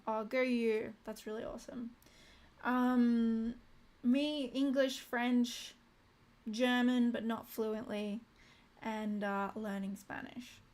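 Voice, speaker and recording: Slow-talking woman reviewing flashcards aloud. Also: fairly high pitch, a little mellow. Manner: reads vocabulary aloud in short bursts, muttering asides to herself; cheerful and chatty with viewers. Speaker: language learner streaming live. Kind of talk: casual live-stream study session.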